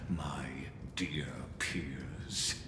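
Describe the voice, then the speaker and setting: An actor playing a coldly polite, menacing male villain in a video game, theatrical, deliberate and condescending. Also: very deep voice, noisy background, heavily acted. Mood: smug menace. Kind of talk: dramatic video game voice acting. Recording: cavernous echoing reverb under music.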